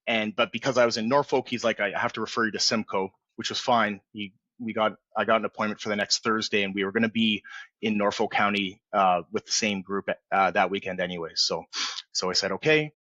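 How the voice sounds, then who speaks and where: A man in his forties, a podcast guest telling his story in a relaxed, rambling way full of fillers and restarts. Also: on the low side, fairly quick, dry recording, muffled recording. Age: 40s. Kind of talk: candid storytelling in a podcast interview.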